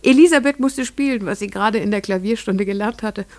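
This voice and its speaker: An actress playing an entranced farmer's wife and mother, telling her strange memories in a measured, lyrical radio play monologue. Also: medium pitch, a little mellow, no room sound, close to an everyday voice. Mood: fervent, entranced.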